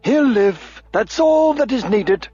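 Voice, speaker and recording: A man's voice: sits high, lively intonation, slow, dark tone, breathy edge, voice pushed up, exaggerated voice. A man voicing a cold, ruthless bald mind-reader who speaks in harsh, curt threats. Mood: cold, furious. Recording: lo-fi audiobook with faint music.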